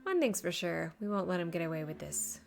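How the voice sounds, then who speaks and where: A woman streaming a video game with casual, expressive commentary and exclamatory reactions. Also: fairly low pitch, a little fast, creaky. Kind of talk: casual live game commentary, reading dialogue aloud. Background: game music.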